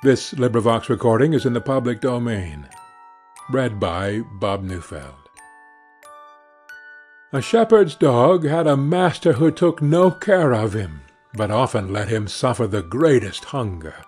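A man narrating a fairy tale as a scripted audiobook, in a measured, expressive storytelling manner. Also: medium pitch, husky, soft background music.

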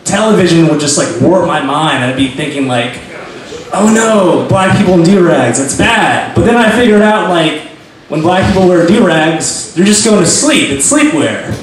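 A young man doing awkward, halting stand-up at an open mic, full of fillers and pauses, on a handheld mic in a small bar. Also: on the high side, animated. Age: young adult. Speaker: stand-up comic. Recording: echoey bar from far off, crowd. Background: bar crowd.

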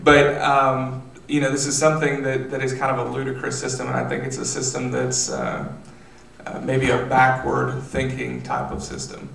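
Creaky-voiced man on a theater panel. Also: medium pitch, a bit bright. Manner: measured, hesitant with fillers. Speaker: state legislator on a panel. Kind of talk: panel discussion answers. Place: theater stage. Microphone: handheld mic. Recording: roomy, distant, faint noise.

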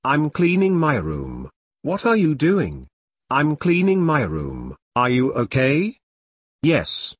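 A man slowly reading short English practice questions and answers aloud, each one twice, in a measured, deliberate way. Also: fairly high pitch, wildly swooping pitch, breathy edge, no echo, studio quality. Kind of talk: slow scripted drill reading.

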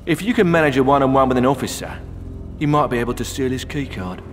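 A man's voice: medium pitch, expressive, quick pace, close to an everyday voice. A man playing a gossipy, streetwise prison inmate in a video game, telling grisly stories and testy about interruptions. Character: gossipy, irritable male prison inmate spinning grisly tales. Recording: long echoing reverb, faint music.

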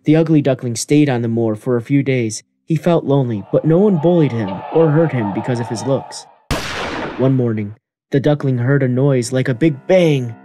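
A man's voice: medium pitch, moderate pace, dark tone, soft background music. A man giving scripted narration of a children's fairy tale.

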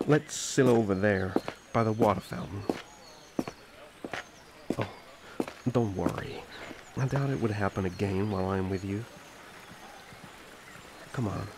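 Man voicing a cocky, flirtatious elf prince, low-voiced. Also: background noise. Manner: smooth-talking, teasing, boastful. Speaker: voice actor. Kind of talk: ASMR fantasy audio roleplay.

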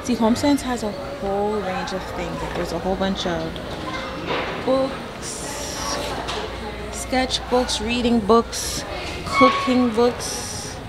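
A woman's voice: medium pitch, slow, heavy background noise. A woman chatting casually to viewers as she shops in a large home goods store, exclaiming over items and prices, recorded on a handheld camera. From Canada. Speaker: vlogger. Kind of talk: casual shopping vlog.

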